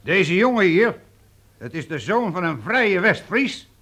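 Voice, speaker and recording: A hearty guild captain. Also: male voice, high-pitched, big pitch swings, a little slow, crowd noise, full character voice. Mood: rousing. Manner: hearty, boisterous toasting. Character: jovial burly guild captain, loud and hearty. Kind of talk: radio play acting. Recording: music playing behind.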